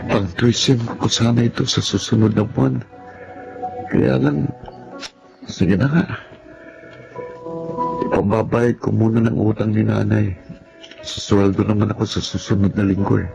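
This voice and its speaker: An actor with a Filipino accent playing an earnest, respectful young student son in a radio drama. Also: male voice, fairly low pitch, steady tone, unhurried, gravelly, pauses often, dull audio, over music. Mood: earnest, resigned. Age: young adult. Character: earnest, hardworking young student son, dutiful but determined.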